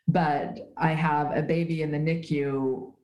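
A woman with a low voice speaks as an interview guest, explaining things emphatically and leaning on everyday examples. Her voice sounds roomy, as if recorded remotely in a live space. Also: level tone, measured pace, darkish tone. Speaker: guest, HR writer. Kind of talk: conversational interview. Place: at home in Switzerland.

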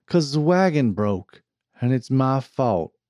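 Western audiobook teamster, male reader: hulking but timid simpleton, tearful, slow, countrified, recorded dry. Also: medium pitch, very animated, darkish tone, no echo. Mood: guilty, tearful. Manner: slow, meek, rustic speech.

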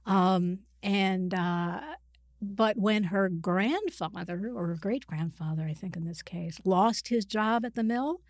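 Middle-aged woman, interview guest, conversational, halting thoughtful explanations, dry close sound. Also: mid-range voice, no echo. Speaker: interview guest, psychiatrist and author.

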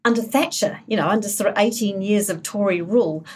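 A woman from New Zealand, speaking as a guest on a political talk panel. She is animated and wry, and often restarts her sentences in loose, casual chat. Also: mid-range voice, brisk, breathy edge.

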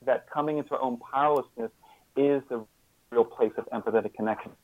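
A man being interviewed as a guest, speaking reflectively and haltingly, often repeating words and restarting his sentences. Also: mid-range voice, no room sound, narrow, tinny audio.

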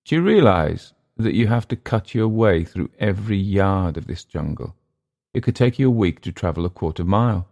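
A man's voice: sits low, lively intonation, moderate pace, a little mellow. A man voicing a world-weary, domineering settler in an audiobook story, speaking with emphatic, teasing scorn. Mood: warning. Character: domineering, mocking older male jungle settler, world-weary. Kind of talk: audiobook character dialogue.

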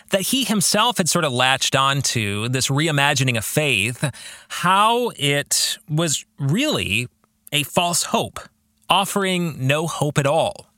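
A man's voice: a little high, pushed and bright, dry recording, clean studio sound. A man hosting a podcast, giving reflective religious commentary on his book in an earnest, preacherly way with emphatic phrasing. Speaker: podcast host and author discussing his own novel.

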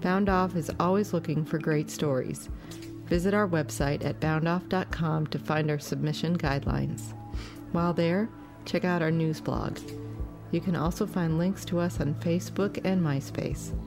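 A woman's voice: low voice, steady tone, normal speed. A woman hosting a podcast, reading scripted announcements and introductions. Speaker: podcast host. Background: music.

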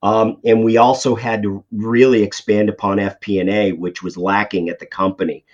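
Boston-accented man, low and muffled. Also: flat delivery, normal speed, a little mellow. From Boston area. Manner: conversational, many fillers and restarts. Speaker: CFO guest. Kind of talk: podcast interview answers. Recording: dull lo-fi audio.

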